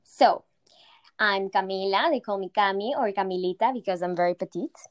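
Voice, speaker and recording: Young Latin American woman founder, smooth voice. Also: medium pitch, moderate pace, no room sound. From Argentina. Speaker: founder of a fitness company. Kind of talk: interview answers.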